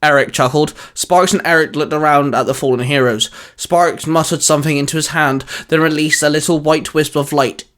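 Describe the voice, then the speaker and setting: A man narrating a fan fiction story in an even, measured reading style. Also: on the high side.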